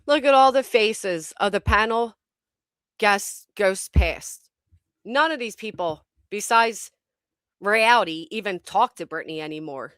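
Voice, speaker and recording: A woman's voice: medium pitch, measured pace, fairly bright tone, dry recording. A woman hosting a commentary stream, talking bluntly and scornfully and swearing freely. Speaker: commentary channel host. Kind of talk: casual reaction commentary.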